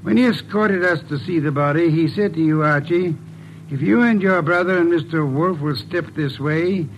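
An actor playing a shrewd, self-assured detective in 1940s radio style, speaking in a pompous, precise, deliberate way. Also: male voice, fairly high pitch, muffled recording, a little hiss or hum, natural voice. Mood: smug, amused. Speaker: lead detective. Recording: vintage radio drama recording.

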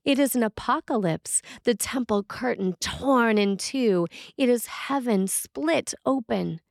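A woman priest reading a scripted sermon in a measured, deliberate and solemn manner. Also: fairly high pitch, vocal fry, no echo, clean studio sound. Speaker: Episcopal priest reading a sermon written by a colleague. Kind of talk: read sermon, scripted narration.

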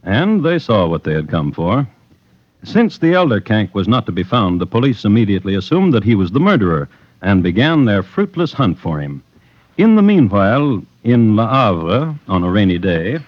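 A man narrating an old-time radio crime drama with deliberate, theatrical storytelling. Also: on the low side, sing-song, dry recording. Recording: muffled vintage radio broadcast.

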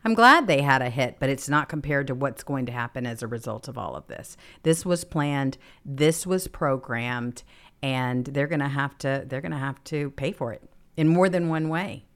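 A woman's voice: a bass voice, moderate pace. A woman co-hosting a political talk show, speaking in an emphatic, assertive way. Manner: emphatic, agreeing, building to raised excitement. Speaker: co-host.

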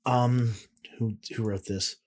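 A man's voice: mid-range voice, fairly even intonation, takes it slowly, a little mellow. A man co-hosting a podcast in a rambling, slangy, sweary way, moving between casual banter and reading aloud. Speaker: podcast co-host.

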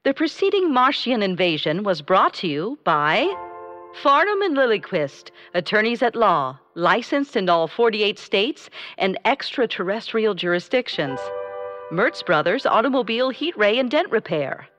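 Woman as a prim radio announcer, formal, lively pitch, music behind. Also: normal speed, muffled recording. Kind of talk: comic radio drama acting.

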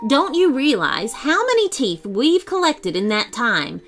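A woman's voice: high-pitched, very animated, measured pace, fairly bright tone, faint music behind, voice pushed up, heavily acted. A woman doing a snappy, fussy little tooth fairy. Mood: exasperated. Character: brisk, bossy little female tooth fairy.

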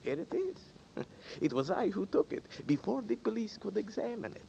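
A man's voice: high voice, sing-song, normal speed, creaky, dark tone, a little hiss or hum, heavily acted. An actor playing a smug, mock-polite male blackmailer in a 1940s radio drama, taunting and theatrical. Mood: gloating. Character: sly, mocking male blackmailer, scornful and smug. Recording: dull 1940s radio broadcast.

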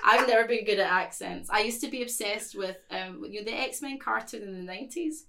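A woman co-hosting a casual podcast chat, giggly and animated, swearing casually. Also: medium pitch, a little fast, vocal fry, a little hiss or hum. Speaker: podcast co-host.

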